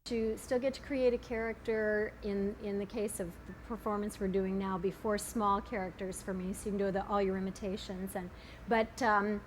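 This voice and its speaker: A woman's voice: medium pitch, poor audio. A woman, a radio theater performer, explaining her craft in an informal interview. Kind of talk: interview chat. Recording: faint ambient background noise.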